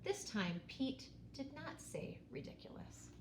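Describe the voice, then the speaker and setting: A woman reads a children's picture book aloud in an expressive, dramatic way, pausing and stressing key words. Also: sits high. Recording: roomy echo, faint background noise.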